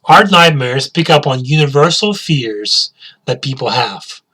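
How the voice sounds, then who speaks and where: A man's voice: medium pitch, expressive, measured pace, sharp tone, husky. A male teacher giving a spoken English lesson, asking learners questions and repeating key words.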